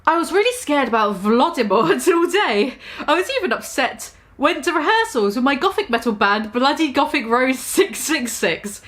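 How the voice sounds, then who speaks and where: A woman with a high, very animated voice, reading a story in a mock-dramatic way. She breaks off often into sarcastic, laughing asides. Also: moderate pace, few pauses. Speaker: comedic reader.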